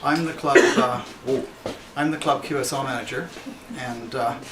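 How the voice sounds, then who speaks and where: A man gives an informal explanatory walkthrough of a radio station, speaking in a halting, matter-of-fact way. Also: medium pitch, fairly even intonation. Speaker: radio club member giving a station tour. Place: indoor amateur radio station room. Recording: roomy, noisy recording, distant microphone.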